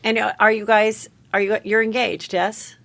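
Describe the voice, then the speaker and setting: A woman's voice: medium pitch, little pitch movement, moderate pace, creaky, a bit bright, slight background noise. A woman hosting a podcast, asking rambling, gossipy questions full of "like"s in casual chat. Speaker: podcast host.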